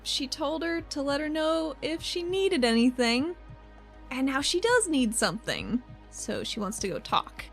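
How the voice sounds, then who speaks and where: Higher-voiced woman playing a tabletop game. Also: very animated, a little slow, faint music behind. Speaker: player in a tabletop roleplaying game. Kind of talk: casual improvised roleplay chat.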